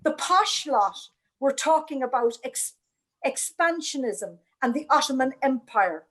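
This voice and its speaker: A woman's voice: high voice, expressive, unhurried, fairly bright tone. A woman moderating a panel discussion, chatty and encouraging, with frequent affirmations.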